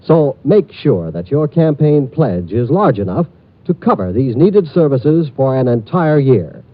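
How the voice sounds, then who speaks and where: Lively 1950s radio announcer man. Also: mid-range voice, a little slow. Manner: smooth formal announcer delivery. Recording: dull lo-fi old radio transcription.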